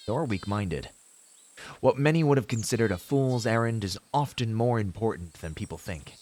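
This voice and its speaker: A man's voice: lowish voice, a bit bright. A man playing an earnest, idealistic young novice hero in a fantasy audio roleplay, speaking in formal heroic phrases with hesitant asides to a companion. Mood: encouraging, resolute.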